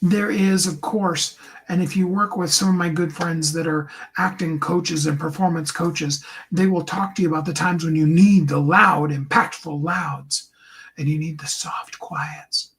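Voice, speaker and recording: A man with a higher tenor voice giving an earnest, encouraging motivational talk to his viewers, often slowing down and dropping into a soft whisper. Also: steady tone. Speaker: host and coach.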